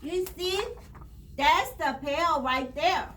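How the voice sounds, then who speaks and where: A woman reading a rhyming children's story aloud in an expressive, theatrical way with drawn-out phrasing. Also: sits high. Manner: theatrical, drawn-out phrasing, pausing to show pictures to listeners. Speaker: storybook reader at a library read-aloud.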